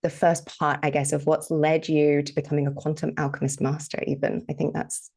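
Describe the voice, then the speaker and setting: A woman hosting a podcast, introducing her guest in a soft, effusive, gently enthusiastic way. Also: low voice, little pitch movement, brisk, creaky. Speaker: podcast host.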